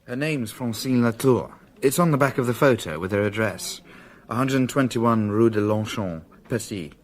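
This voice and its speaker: Guy playing british junior cop in a radio play, medium pitch, just giving the facts. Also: normal speed, lightly acted. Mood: businesslike. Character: businesslike junior male police detective reporting to chief. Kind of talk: British radio drama acting.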